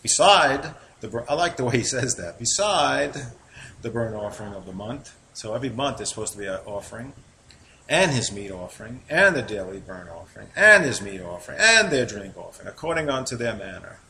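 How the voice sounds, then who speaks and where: A man with a New York accent, reading Bible verses aloud and explaining them in a relaxed, conversational teaching style. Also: medium pitch, brisk, vocal fry, a little hiss or hum, poor audio. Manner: reads scripture aloud then comments conversationally.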